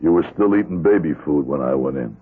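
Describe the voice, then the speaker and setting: An actor playing a weary, guarded middle-aged ex-convict in a radio drama, terse and blunt, with an American accent. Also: male voice, very deep voice, fast talker, narrow, tinny audio. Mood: bitter, resigned. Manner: terse, flat-worded, guarded, world-weary. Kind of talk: old-time radio drama acting. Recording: band-limited radio drama with music.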